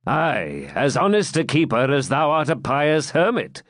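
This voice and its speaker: A man voicing a dignified, probing knight in an audiobook, with formal, measured old-fashioned speech. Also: a little high, very animated, fairly bright tone, dry recording, clean studio sound, voice pushed up. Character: dignified, courteous, probing male champion knight. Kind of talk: audiobook character voice.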